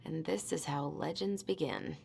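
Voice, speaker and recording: A woman's voice: sits low, very animated, moderate pace, gravelly, darkish tone, slight background noise, full character voice. An actress playing a tired, anxious young woman in a naturalistic video game cutscene. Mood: apologetic, casual. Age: young adult.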